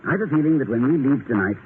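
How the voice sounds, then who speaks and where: A man's voice: medium pitch, lively intonation, fairly quick, natural voice. A dignified, slightly fussy middle-aged academic husband in a vintage radio comedy, acted by a man. His cultured voice moves freely up and down and stumbles bashfully. The sound is thin and narrow, with light hiss. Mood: genteel, wry. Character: dignified, bashful college president husband, easily flattered. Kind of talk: 1950s radio comedy acting. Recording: tinny, noisy 1950 radio recording.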